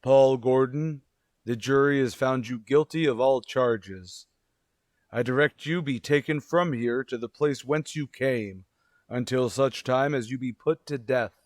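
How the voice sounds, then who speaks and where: Male actor as solemn stern judge, radio drama, formal, grave, lowered pitch. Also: a little slow, dry recording, close to an everyday voice. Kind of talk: old-time radio drama acting.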